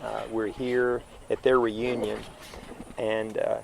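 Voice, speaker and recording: Gravelly relaxed male interviewer. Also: fairly low pitch, slow pace. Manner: relaxed, hesitant with many fillers. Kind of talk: casual on-site interview.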